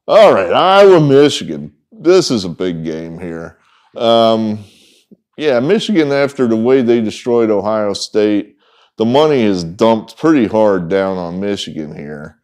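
A man's voice: on the low side, big pitch swings, measured pace, a little mellow. A middle-aged man hosting a sports betting picks video, talking casually and rambling to the camera with lots of ums and asides. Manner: rambling, folksy, frequent ums and trailing asides. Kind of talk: casual off-the-cuff talk to camera. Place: indoor room with wood-paneled walls, in front of a whiteboard.

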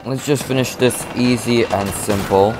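A man's voice: medium pitch, steady tone, normal speed, noisy background. A man giving casual let's play commentary, rambling as he plays and losing his voice. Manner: rambling, talks through his moves, restarts often. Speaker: gameplay commentator.

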